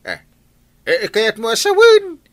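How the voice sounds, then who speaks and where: A comic village captain with an Ilocano accent speaks in swooping, animated tones. He stammers and hesitates as he starts his sentences, in radio comedy style, recorded dry and close. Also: very high voice, unhurried, no echo, heavily acted. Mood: flustered, scolding.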